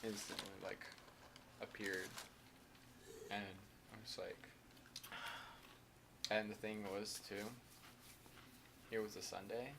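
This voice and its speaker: A young man co-hosting a casual podcast, telling an earnest, halting story full of filler words. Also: lowish voice, flat delivery, gravelly, background noise. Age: young adult. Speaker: podcast co-host. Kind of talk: casual chat among friends.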